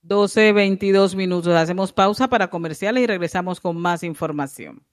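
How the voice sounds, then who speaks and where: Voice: medium pitch, normal speed, over music. A radio news reporter presenting a midday bulletin and reading time checks. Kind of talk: news broadcast.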